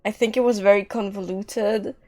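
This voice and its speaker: A woman giving casual live-stream game commentary in a hesitant, rambling, deadpan way. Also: mid-range voice, moderate pace.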